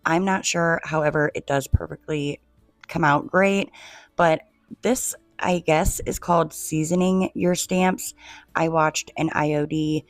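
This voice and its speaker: A woman with a low voice talks viewers through a craft project, chatty and relaxed, often calling them "you guys". She is coming down with a cold and her voice is fading. The sound is dry and close, with no room echo. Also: unhurried, faint music behind. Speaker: DIY home decor creator. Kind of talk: casual craft tutorial narration.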